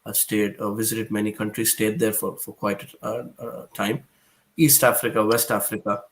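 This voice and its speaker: A man from Pakistan, a guest author answering interview questions hesitantly, often pausing and restarting. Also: lowish voice, little pitch movement, a bit bright, a little hiss or hum. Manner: hesitant, with frequent "uh" fillers and restarts.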